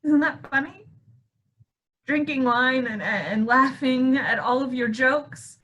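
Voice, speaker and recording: A woman's voice: high voice, slow pace, pushed and bright, muffled recording, exaggerated voice. A woman acting a grief-stricken, unhinged wife in a staged play reading. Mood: bitterly cheerful. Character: grief-stricken, unhinged wife clinging to stolen baby deer.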